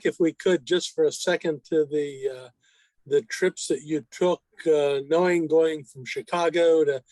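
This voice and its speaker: A man politely asking a question from the audience in a halting, courteous way. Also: on the high side, no room sound, rough recording.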